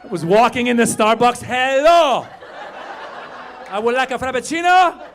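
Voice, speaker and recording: A man in his fifties performing a stand-up comedy set in a club, chatty and teasing the crowd, swinging loud for punchlines. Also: extremely high pitch, animated, normal speed, a little hiss or hum. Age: 50s. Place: comedy club stage with live audience. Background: audience laughter.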